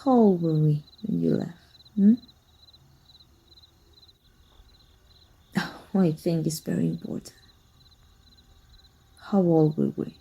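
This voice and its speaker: A woman playing a teasing, wistful and flirty female vampire hunter with a breathy, husky voice in an intimate ASMR roleplay. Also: on the low side. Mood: playful teasing. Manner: slow, teasing, softly taunting.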